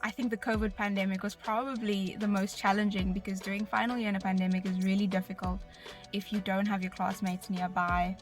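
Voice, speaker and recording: A woman's voice: medium pitch, level tone, fairly quick. A woman in her twenties with a South African accent, giving a personal testimonial as a recent graduate. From Bloemfontein, South Africa. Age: 20s. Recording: voice over background music. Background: music.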